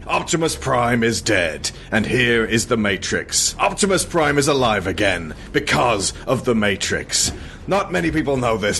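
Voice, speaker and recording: A man hosting a podcast, reading a comic scripted recap in a mock-solemn, deadpan way with casual asides. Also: mid-range voice. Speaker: podcast host.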